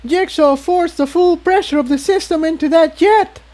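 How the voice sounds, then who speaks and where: A man's voice: very high-pitched, moderate pace, a little mellow, voice pushed up. A man voicing an alarmed female pony who scolds urgently in a fantasy adventure story reading. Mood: alarmed, scolding. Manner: urgent, exclaiming.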